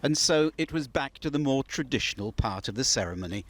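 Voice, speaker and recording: A man reading a scripted television news report in a measured, storytelling way over a close mic. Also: mid-range voice, lively intonation, slight background noise. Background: music under the narration.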